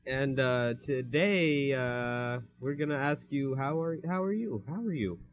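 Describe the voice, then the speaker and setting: A man's voice: medium pitch, unhurried, no room sound. Rambling young man, dull lo-fi mic. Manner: rambling, laughs easily, many fillers. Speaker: podcast co-host. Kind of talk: casual chat.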